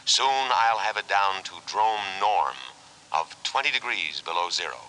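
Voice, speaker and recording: Deep, formal war robot. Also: male voice, expressive, measured pace, sharp tone, heavily acted, low-quality recording. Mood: calm menace. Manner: formal, precise, unemotional delivery. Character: calm, officious war robot boat, menacingly solicitous. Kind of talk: 1950s radio drama acting. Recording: hissy 1950s radio recording.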